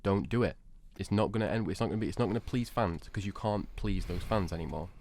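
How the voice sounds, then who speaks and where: A man's voice: low voice, fast, a little mellow, slight background noise. A man arguing his opinion emphatically in a casual panel debate, repeating words for stress.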